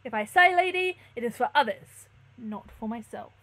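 Woman acting a humble young male stranger, plaintive, formal, animated pitch, gothic storybook. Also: moderate pace, slight background noise. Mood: earnest, proud. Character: mysterious melancholy young peasant man, humble and courteous. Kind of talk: gothic novel read-aloud.

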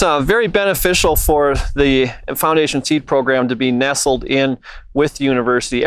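A man's voice: mid-range voice, a bit bright, smooth, dry recording. A middle-aged man who manages a seed program gives a plain-spoken walking tour of a cleaning plant and wheat fields, with many "uh" fillers. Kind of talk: informal walk-through tour.

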